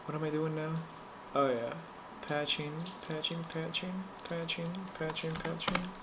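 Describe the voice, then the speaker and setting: A man from Puerto Rico with a Puerto Rican Spanish accent, a native Spanish speaker, hosting a live stream and chatting haltingly while he works, repeating words and chanting to himself. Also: fairly high pitch, level tone. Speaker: livestream host. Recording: noticeable background noise.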